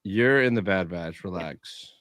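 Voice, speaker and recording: A man's voice: sits low, a little slow, fairly bright tone, no echo, studio quality. A man co-hosting a casual livestream, chatting in a blunt, slangy way with casual swearing. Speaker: livestream co-host.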